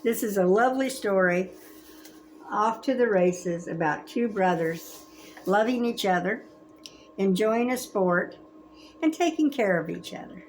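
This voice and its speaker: A woman in her seventies reading a children's story aloud in a gentle, expressive, grandmotherly way. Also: medium pitch, measured pace, a little hiss or hum, poor audio. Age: 70s. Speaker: storybook reader.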